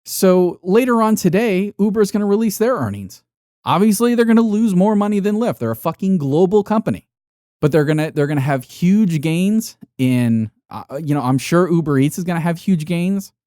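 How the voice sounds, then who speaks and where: Mellow-voiced, blunt man podcasting solo. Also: high voice, lively intonation, normal speed, dry recording, studio quality. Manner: blunt, heavily swearing, emphatic motivational ranting. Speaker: podcast host. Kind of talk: unscripted solo podcast monologue.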